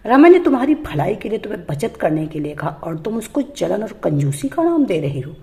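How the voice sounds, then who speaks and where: A woman's voice: mid-range voice, big pitch swings, fairly quick, dark tone. Stern older mother-in-law, voiced by a woman narrator. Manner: firm, scolding.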